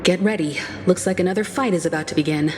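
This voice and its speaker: Woman acting a composed, lowish-voiced analytical companion. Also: fast talker, long reverb tail, background noise, natural voice. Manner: calm, thoughtful, explanatory. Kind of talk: game cutscene dialogue.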